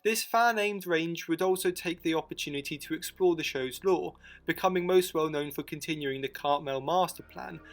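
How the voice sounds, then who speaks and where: Higher-pitched man narrating over music. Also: normal speed, few pauses. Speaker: narrator of a fan documentary. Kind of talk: scripted narration.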